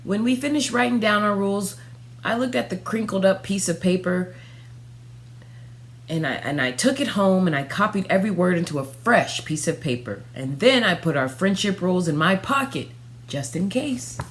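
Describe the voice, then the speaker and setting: A woman in her thirties reading a picture book aloud for children, with expressive, deliberate phrasing. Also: mid-range voice. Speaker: storytime reader. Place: small furnished room at home, indoors. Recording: faint background noise and hum.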